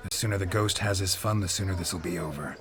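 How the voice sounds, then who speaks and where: An actor playing a gruff, dour, world-weary monster hunter in a video game, with terse, dry, deadpan delivery. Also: male voice, low voice, fast talker, natural voice. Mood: weary, firm. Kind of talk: video game character acting. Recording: music behind the voice.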